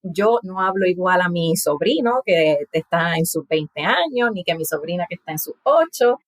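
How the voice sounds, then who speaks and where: A woman in her thirties from Puerto Rico with a Puerto Rican accent and soft aspirated s sounds, explaining in a casual interview and laughing often. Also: lowish voice, animated, moderate pace, no echo. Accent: Puerto Rican Spanish, aspirated s. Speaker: guest, language content creator.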